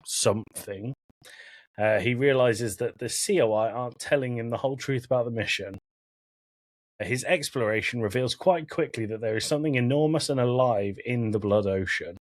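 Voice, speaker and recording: A man with a medium-pitched voice hosts an informal podcast. He talks casually, drops in joking asides and swears freely, and the recording is dry with very little room. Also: brisk, dry recording. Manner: jokey asides, profane. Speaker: podcast host. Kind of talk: informal film and game discussion, recapping from notes.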